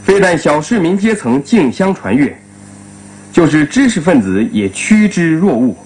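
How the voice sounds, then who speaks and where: Animated male radio host, formal and courteous. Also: on the high side, normal speed, low-quality recording. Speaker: radio host moderating a panel. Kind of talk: formal announcing, moderating a discussion. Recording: old radio recording, faint hum.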